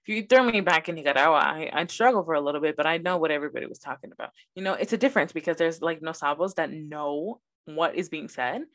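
A woman's voice: sits low, fast, hardly any pauses, fairly bright tone. A young woman whose first language is Spanish, co-hosting a podcast in casual chat and telling stories animatedly. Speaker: podcast co-host.

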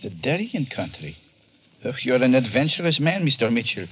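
An actor playing a fat, indolent plantation owner in an old radio drama, speaking with florid courtesy and a bossy edge. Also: male voice, medium pitch, very animated, moderate pace, lo-fi sound, natural voice. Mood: courtly, obliging. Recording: noisy vintage radio recording.

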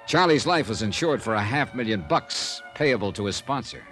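An actor playing a brisk, wry freelance insurance investigator who narrates and talks in a dry, clipped way, in a 1950s radio drama. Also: male voice, mid-range voice, animated, over music, natural voice. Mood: narrating. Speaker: narrator and lead.

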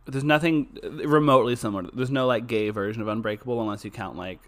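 A man co-hosting a podcast, chatting in a campy, enthusiastic way with quick asides. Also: medium pitch. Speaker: podcast co-host. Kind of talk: casual chat.

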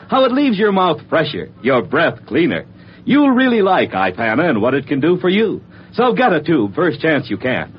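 An American man delivering a polished, emphatic scripted commercial as an old-time radio announcer. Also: on the high side. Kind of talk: scripted 1940s-50s radio advertising pitch. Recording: muffled, faintly noisy vintage radio.

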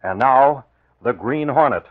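A man's voice: mid-range voice, animated, a little slow, over music. A man announcing and narrating in a dramatic, scripted 1940s radio style. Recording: tinny, band-limited old radio recording.

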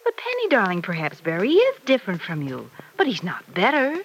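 A middle-aged mother in an old radio serial, advising her grown daughter. Her pitch swoops and dips expressively as she gently probes and coaxes with measured patience. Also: huge pitch swings, a little hiss or hum, rough recording. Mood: earnest, coaxing.

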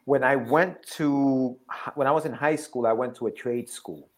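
A man in his forties, originally from Ecuador, talks in a mid-pitched voice as a guest on a podcast. He tells stories casually and chattily, often doubling back on a phrase. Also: normal speed. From Ecuador, moved to the States at seven. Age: 40s. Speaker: podcast guest, comic book creator. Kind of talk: casual conversational storytelling.

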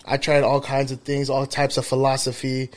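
A man of thirty with an ordinary mid-range voice rambles through stories in a podcast chat. He swears freely and fills gaps with "like" and "um." His delivery is unhurried and conversational. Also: mid-range voice, completely flat delivery. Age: 30s. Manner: rambling storyteller, profane, frequent fillers. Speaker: podcast co-host, barber.

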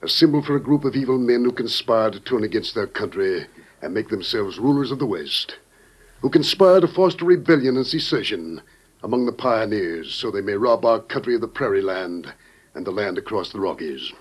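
An actor playing a grave, dignified president with solemn, weighty oratory in an old-time radio drama. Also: male voice, fairly low pitch, lively intonation, normal speed, breathy edge, rough recording. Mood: grave, commanding. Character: grave, dignified American president briefing a secret agent.

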